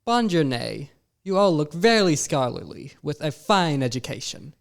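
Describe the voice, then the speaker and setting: A man playing a gravelly old-world book printer. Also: on the high side, very animated, unhurried, full character voice. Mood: courtly. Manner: courtly, old-fashioned phrasing. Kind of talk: amateur audio drama.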